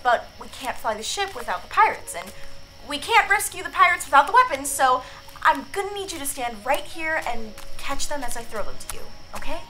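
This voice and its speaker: A woman's voice: high voice, sing-song, a little fast, sharp tone, soft background music, heavily acted, poor audio. A woman playing a bold, chatty thirteen-year-old stowaway in a sci-fi radio drama, breezy and curious, often hesitating. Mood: coaxing. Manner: breezy, talkative, hesitating with uhs. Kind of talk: science fiction radio drama acting.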